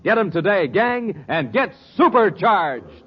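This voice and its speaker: Animated male 1950s radio announcer. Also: high voice, slow. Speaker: announcer and narrator. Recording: muffled, hissy old radio recording.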